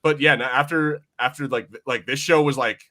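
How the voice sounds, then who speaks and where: A man's voice: medium pitch, steady tone, fairly quick, sharp tone. A man chatting casually as a podcast host, informal and sweary in the way he talks.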